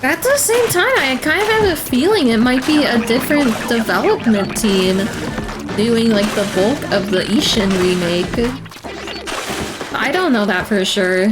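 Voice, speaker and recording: Young female streamer, hoarse and throat-clearing, unhurried filler-heavy chat over blaring game music. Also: fairly high pitch, lively intonation, low-quality recording. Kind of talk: casual chat while gaming. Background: video game music.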